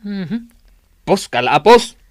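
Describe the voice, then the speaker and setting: Animated put-upon man, radio comedy. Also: high-pitched, slow, slight background noise, exaggerated voice. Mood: grumbling. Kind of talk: Ilocano radio comedy drama acting. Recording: music bed behind radio drama dialogue.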